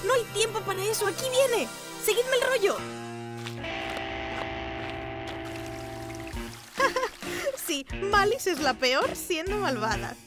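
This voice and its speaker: Scatterbrained, kind young cartoon princess, high-pitched, animated, chatty and dramatic. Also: female voice, unhurried, music in the background. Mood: scheming, excited.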